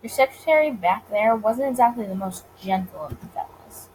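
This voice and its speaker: A woman's voice: mid-range voice, normal speed, darkish tone, slight background noise, natural voice, poor audio. A woman voicing a guarded, wry male detective in a visual novel, speaking dryly and a little awkwardly. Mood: uneasy. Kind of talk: visual novel character reading.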